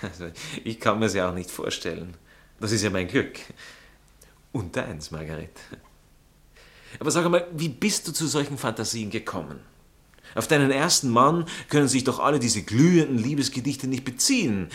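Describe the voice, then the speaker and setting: An actor playing a prim, jealous aristocratic fiancé with an Austrian accent, drawling and indignant in a satirical stage comedy. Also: male voice, medium pitch, expressive, normal speed, fairly bright tone, close to an everyday voice. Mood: scandalized. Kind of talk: stage comedy acting in a radio play manner.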